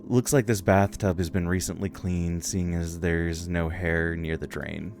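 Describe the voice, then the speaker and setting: Very deep-voiced man narrating a game. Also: fairly even intonation, unhurried, vocal fry. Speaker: streamer reading a game's text aloud. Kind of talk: let's play narration, reading dialogue aloud. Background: game music.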